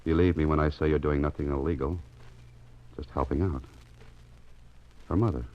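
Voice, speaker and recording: 1940s radio private eye, very low voice, wry, self-mocking, soft-hearted, muffled old recording with music behind. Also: male voice, fairly even intonation, moderate pace. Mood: wry, musing. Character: wry, soft-hearted private investigator and writer, grown man. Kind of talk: 1940s radio drama acting.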